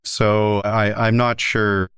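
Deep, smooth-voiced man asking probing questions. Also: little pitch movement, takes it slowly, fairly bright tone, dry recording, studio quality. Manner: thoughtful, probing questions, stammers on starts. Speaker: host, interviewer. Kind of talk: academic interview.

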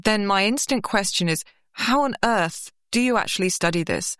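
A woman's voice: mid-range voice, animated, moderate pace, a bit bright, no room sound, studio quality. A woman interviewing a guest, asking curious, probing questions with enthusiasm. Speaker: interviewer, host.

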